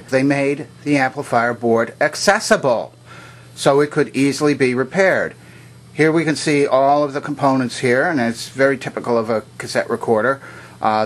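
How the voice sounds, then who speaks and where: A man with a medium-pitched voice narrates a hands-on technical demonstration. He talks without a script, pointing out each part methodically. His delivery is plain and instructional. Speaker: presenter of vintage electronics demonstrations. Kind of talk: unscripted explanatory demonstration narration.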